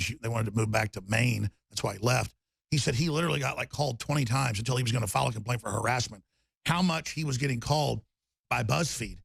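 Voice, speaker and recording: A man hosting a talk radio show, raspy and torn-up in tone, rambling emphatically with loud bursts and low mutters. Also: dry recording. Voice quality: raspy, gravelly voice. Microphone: studio microphone.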